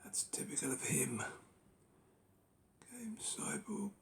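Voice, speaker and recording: Gravelly hoarse man, half-whispering. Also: on the high side, very animated. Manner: unhurried, musing, lots of ums and rights. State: losing his voice. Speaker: book collector. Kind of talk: casual collection walkthrough.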